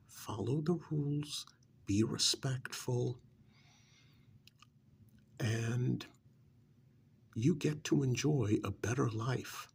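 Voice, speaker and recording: A man playing a smug, pampered vampire's familiar recruiter in an ASMR role-play, cajoling and dismissive, with a gravelly voice that often drops to a breathy near-whisper. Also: mid-range voice, darkish tone, full character voice. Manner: conversational, cajoling, with rhetorical questions and pauses.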